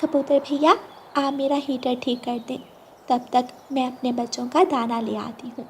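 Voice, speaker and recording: A meek, poor little bird from a cartoon moral tale, played by a woman. The voice is high and gentle, pleading politely, over faint background noise. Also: steady tone, moderate pace. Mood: polite request. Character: gentle, pleading poor mother sparrow and her chick. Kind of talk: Hindi cartoon moral story voice acting.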